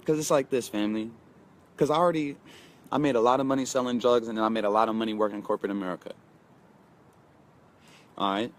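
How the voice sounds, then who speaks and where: Man in his twenties, motivational vlogger, emphatic and repetitive, mid-pitched voice. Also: steady tone, fast, long pauses. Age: 20s. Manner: emphatic, repeats lines and talks straight to viewers. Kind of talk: casual motivational monologue.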